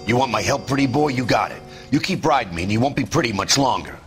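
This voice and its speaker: An actor playing a blunt, bitter, middle-aged American police detective in a dramatic video game. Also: male voice, medium pitch, lively intonation, fast talker, faint music behind, close to an everyday voice. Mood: angry, confrontational. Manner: blunt, bitter, tough-talking. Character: gruff, world-weary, profane veteran male police detective.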